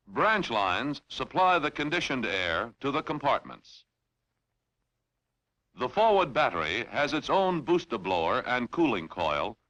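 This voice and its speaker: Guy doing training film narration, bright forceful voice, lively pitch, formal and measured. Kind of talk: scripted instructional narration.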